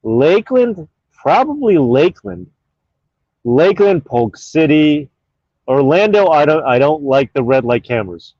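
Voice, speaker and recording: A man's voice: a little high, big pitch swings, unhurried, darkish tone, no room sound, muffled recording. A man hosting his own live stream in casual chat, reading out viewer comments in a rambling, offhand way with plenty of fillers.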